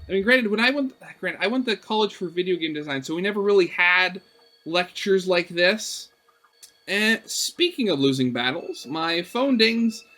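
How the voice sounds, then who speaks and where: A young man reading a visual novel aloud as a let's play host, expressive and breaking into casual asides. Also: sits high, moderate pace.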